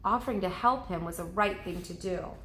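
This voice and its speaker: A woman's voice: mid-range voice, fairly quick, a little hiss or hum, natural voice. A woman voicing a cheerful, encouraging and helpful young girl adventurer in a storybook reading. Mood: earnest. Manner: bright-spirited, encouraging. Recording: roomy, echoing indoor sound.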